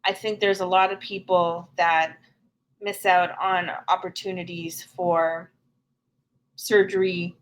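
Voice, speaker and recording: Woman guest, middle-aged, frank and earnest, unhurried delivery. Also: fairly low pitch, flat delivery. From Canada. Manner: earnest, frank and plain-spoken. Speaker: podcast guest. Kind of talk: candid conversational interview.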